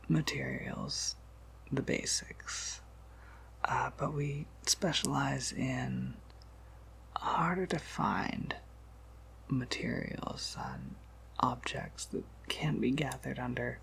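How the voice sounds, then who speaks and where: A man playing an ancient, courteous vampire apothecary keeper in an ASMR roleplay, in a breathy, gravelly whisper with a hesitant, unhurried manner. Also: mid-range voice, heavily acted. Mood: soft, amiable. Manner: hesitant, unhurried, softly chuckling asides.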